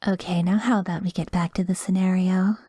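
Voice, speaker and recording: A woman playing a doting, manipulative therapist in an ASMR roleplay, soft-spoken with breathy, coaxing, controlling phrasing. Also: on the low side, steady tone, brisk, no room sound, studio quality. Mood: composed, coaxing. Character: doting, possessive female therapist, manipulative and smoothly professional.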